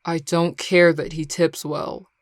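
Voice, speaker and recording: A woman's voice: low voice, level tone, slow, dry recording. A woman playing a grumpy young male coworker in an audio roleplay, curt and sarcastic with exasperated delivery. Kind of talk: scripted audio roleplay acting.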